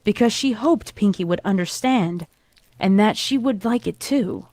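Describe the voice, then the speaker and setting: A woman's voice: medium pitch, animated, measured pace, a crowd in the background. A woman reading a scripted story as narrator, with dramatic delivery.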